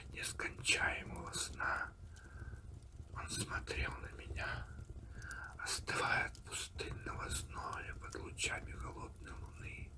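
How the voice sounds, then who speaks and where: A man narrating a story in a breathy, hushed ASMR whisper, deliberate and unhurried, with pauses between phrases. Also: very high-pitched. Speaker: audiobook reader and host of an ASMR channel.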